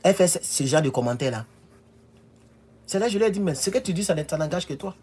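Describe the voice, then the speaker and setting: Husky-voiced man, emphatic. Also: on the high side, expressive, quick pace, a little mellow. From Ivory Coast. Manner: emphatic, repeats himself, rises to insistent peaks. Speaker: political commentator. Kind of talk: political commentary vlog.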